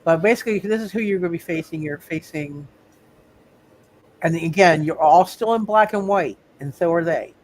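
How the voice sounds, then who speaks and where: A man running a live roleplay game as game master, chatting casually and often halting to repeat himself. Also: fairly high pitch, darkish tone, slight background noise, poor audio.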